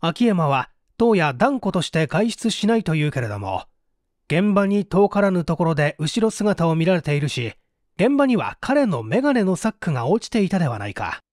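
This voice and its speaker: A man narrating a detective story as an audiobook, with theatrical, deliberate phrasing. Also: high-pitched, very animated, a little mellow, dry recording, clean studio sound. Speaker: audiobook narrator, stage actor.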